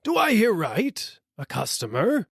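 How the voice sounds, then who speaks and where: A man voicing an eager, hopeful coal dealer who calls out excitedly in an audiobook story. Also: high-pitched, unhurried, creaky, slightly rough, dry recording, studio quality, voice pushed up, heavily acted. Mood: excited wondering. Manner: excitable, calling out questions.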